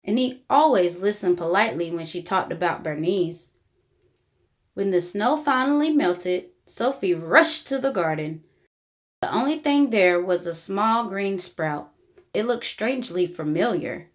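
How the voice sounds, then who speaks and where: A woman reading a children's book aloud in an expressive, deliberate storytelling style. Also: medium pitch. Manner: animated, deliberate storybook delivery. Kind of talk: expressive read-aloud narration for young children.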